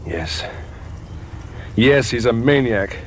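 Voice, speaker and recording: An actor playing a troubled, desperate decent man in a 1950s radio drama, speaking in a halting, earnest way. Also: mid-range voice, lively intonation. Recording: old radio broadcast under car noise. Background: faint music, car driving noise.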